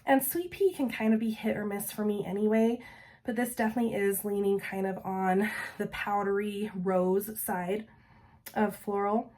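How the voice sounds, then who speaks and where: A woman's voice: mid-range voice, steady tone, normal speed, darkish tone. A woman chatting casually in a product review, her voice still a little off after losing it to an illness, enthusiastic as she describes each scent. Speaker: vlogger reviewing scented wax.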